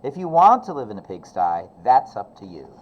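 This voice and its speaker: A man's voice: mid-range voice, big pitch swings, moderate pace, highs cut off, voice pushed up, close to an everyday voice. A man voicing an exasperated, firm mother in a children's storybook.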